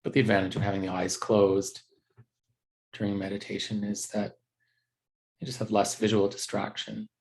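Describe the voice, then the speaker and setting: A man teaching a guided meditation in a gentle, unhurried, conversational way with halting pauses. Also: on the low side, level tone, gravelly, low-quality recording.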